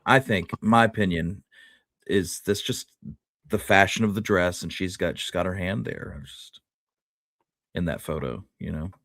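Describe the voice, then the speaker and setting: A man's voice: deep voice, measured pace, gravelly, fairly bright tone, no room sound, studio quality. A man co-hosting a history show, talking casually and tentatively, often rephrasing and qualifying his points. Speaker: co-host of a history discussion show.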